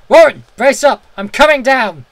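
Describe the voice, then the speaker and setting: A man's voice: very high-pitched, normal speed, no echo, pitched above the performer's own voice. A man voicing a frightened, devoted man who calls out anxiously to his friend in a horror story. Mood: resolute shouting. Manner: anxious, shouting. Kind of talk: dramatic horror story reading.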